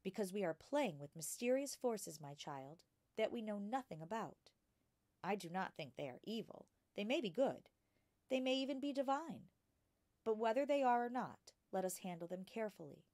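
Woman reading as a gentle, cautious schoolteacher. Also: medium pitch, animated, no echo, voice pushed up, natural voice. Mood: careful, patient. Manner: patient, careful, measured explaining. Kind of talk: children's storybook character reading.

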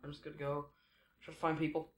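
A man's voice: a little high, completely flat delivery, fast. A teenage boy giving rambling, chatty commentary while playing a video game, often correcting himself mid-sentence. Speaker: gaming YouTuber. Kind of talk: casual Let's Play commentary. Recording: echoey room with noise behind.

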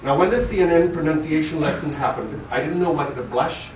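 A man with a Barbadian accent reads a prepared tribute in a formal, measured way, his voice slightly high. It is picked up from far off in a very echoey space, through a muffled phone recording. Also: lively intonation, slight background noise. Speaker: son delivering a eulogy for his father. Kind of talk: scripted memorial speech to an audience. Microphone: phone camera far from the speaker.

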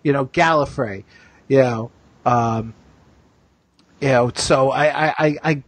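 A man's voice: medium pitch, breathy edge, no echo. A man hosting a casual fan discussion, speaking emphatically and opinionatedly, often doubling back on his phrases. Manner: emphatic, halting with repeated phrases. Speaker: podcast host.

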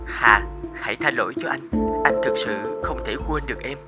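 Actor as smug, manipulative young cartoon villain. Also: male voice, medium pitch, moderate pace, highs cut off, loud music behind the voice, close to an everyday voice. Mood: coaxing, menacing. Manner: insinuating, coaxing with veiled threat. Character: smug, manipulative blackmailing ex-boyfriend, young man. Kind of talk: animated drama voice acting.

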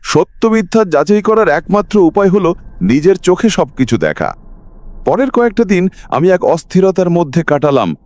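A man narrates a ghost story as a dramatic scripted reading. His delivery is suspenseful and expressive, with lively movement in pitch that carries the tension. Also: normal speed, dry recording.

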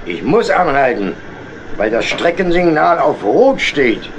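A jovial locomotive driver in a children's audio play. He speaks slowly and heartily in a fairly high, very animated voice. His tone is kindly. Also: lo-fi sound, noisy background. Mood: kindly, regretful. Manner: hearty, calling out, chuckling.

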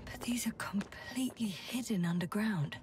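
A woman voicing an observant, level-headed space scientist in a video game, reporting what she sees in a measured way. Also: medium pitch, far from the mic, noisy background, close to an everyday voice. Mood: calm, observant.

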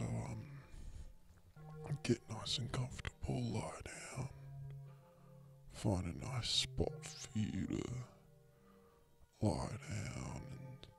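Very slow, creaky-voiced Australian man. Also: medium pitch. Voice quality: breathy, often dropping into a whisper. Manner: slow, drawn-out, rambling and repetitive. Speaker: guided meditation host. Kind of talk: comedic guided meditation, ASMR-like. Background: background audio music.

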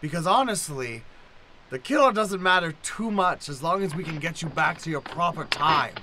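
An actor playing a drunk, scheming mentor in an improvised old-time radio play, grandiose and dramatic. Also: male voice, fairly high pitch, lively intonation, normal speed, a crowd in the background, natural voice, poor audio. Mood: conspiratorial. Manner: grandiose, dramatic, swinging from murmurs to shouts. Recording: noticeable background noise.

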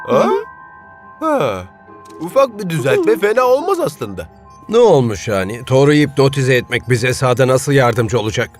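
A man's voice: medium pitch, very animated, moderate pace, pauses often, soft background music, natural voice. A man voicing a vain, proud moustached henchman with a theatrical manner in a dubbed cartoon. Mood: defiant, puzzled.

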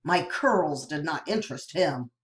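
Woman narrator for a storybook reading: low voice, lively swings, unhurried pace. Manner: expressive, storytelling delivery. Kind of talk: reading a novel aloud.

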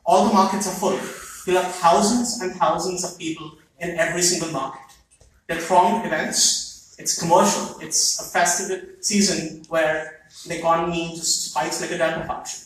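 A young man with an Indian accent speaks in an articulate, impassioned way, his voice sitting a bit high. He is recorded by a phone far back in a large hall. The room adds heavy echo and a light hiss. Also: steady tone, normal speed. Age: young adult. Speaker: student speaker at public comment. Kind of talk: impassioned public statement. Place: large meeting hall, heard from the audience.

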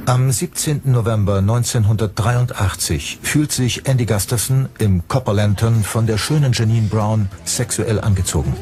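A man reading scripted narration for a true-crime documentary. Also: mid-range voice.

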